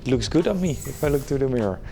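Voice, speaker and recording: Brief: gravelly-voiced man, slow and lively in pitch, playful, recorded from a distance. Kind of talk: casual interview.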